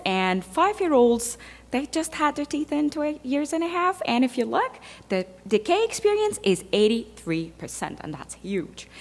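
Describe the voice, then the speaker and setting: A woman from Egypt, a dentist giving a conference talk, speaking conversationally with fillers and playful asides. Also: medium pitch. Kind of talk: conference presentation. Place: large conference hall. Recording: reverberant lecture hall.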